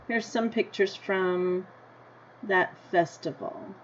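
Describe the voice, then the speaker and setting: An American woman teacher reading a picture book aloud to children, deliberately, with simple explanatory asides and questions to her listeners. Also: mid-range voice, fairly even intonation. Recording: dull lo-fi sound with noticeable background noise.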